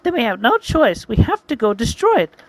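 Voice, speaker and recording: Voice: medium pitch, big pitch swings, normal speed, no room sound, pitched above the performer's own voice, rough recording. A storyteller voicing a proud, scorned warrior woman who is fierce and commanding in an adventure tale. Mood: stern, commanding.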